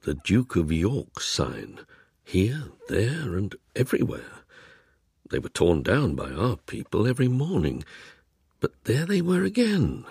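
Casting: court storyteller, slow and husky, dry close sound, historical radio drama narration. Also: male voice, fairly low pitch, huge pitch swings, darkish tone, no echo, exaggerated voice. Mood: uneasy, recounting. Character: man of the court recounting strange events. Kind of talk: dramatic first-person narration.

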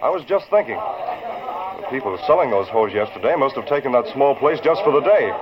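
Guy acting brisk level-headed news boss, lively delivery, dull old radio, crowd murmur. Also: medium pitch, lightly acted. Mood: calm, assured.

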